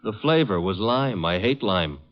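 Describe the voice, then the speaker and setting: An actor with an American accent playing a cocky, sardonic detective's assistant, slangy and wry, in 1950s radio drama style. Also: male voice, lowish voice, lively intonation, moderate pace, lightly acted. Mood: matter-of-fact, wry. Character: wisecracking male private eye assistant, cocky, sardonic legman. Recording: dull, muffled 1950s radio recording.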